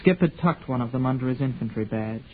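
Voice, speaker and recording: An actor voicing a hesitant, soft-spoken young man who narrates wistfully, in a tinny 1940s radio drama. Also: mid-range voice, fast talker, slight background noise, natural voice. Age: young adult. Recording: tinny 1940s radio broadcast with music behind.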